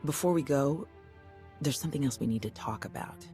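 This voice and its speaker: An actress playing a tough, plain-spoken warrior comrade in a video game, casual and frank. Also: female voice, a bass voice, lively intonation, fairly quick, mellow, faint music behind. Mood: frank, sincere. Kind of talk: scripted video game acting.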